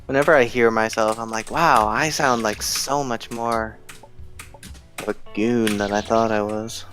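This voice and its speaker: A man with a nasal, deadpan voice, chatting casually while watching a game stream. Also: on the low side, a little slow, faint music behind. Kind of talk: casual gaming chat.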